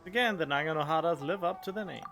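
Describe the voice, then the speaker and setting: A man's voice: fairly high pitch, quick pace, creaky, fairly bright tone. A man giving casual, offhand game commentary as a streamer, musing as he plays. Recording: game music behind.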